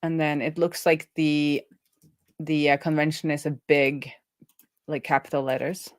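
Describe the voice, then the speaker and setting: A woman live-streaming as a programmer, thinking aloud in a casual, halting way as she mutters through her work. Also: deep voice, flat delivery, dry recording, studio quality. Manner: halting, muttering to herself while working.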